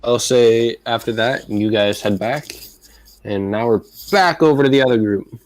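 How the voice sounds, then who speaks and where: A man who narrates as game master in a loose, rambling, halting way. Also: mid-range voice, no room sound, rough recording.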